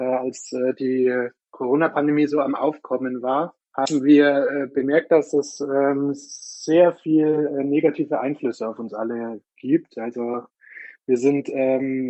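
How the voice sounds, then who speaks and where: A man from southern Germany answering interview questions informally, halting often as he searches for words. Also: mid-range voice, warm tone, dry recording. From Munich, Germany. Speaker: interview guest, newsletter co-founder. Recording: dry, slightly dull lo-fi sound.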